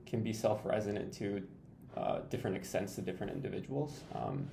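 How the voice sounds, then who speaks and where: A man's voice: lowish voice, a little fast, vocal fry, dark tone. A young man from Peru in a student podcast discussion, thoughtful and searching for words. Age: young adult. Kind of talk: casual discussion. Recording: echoey room, faint noise.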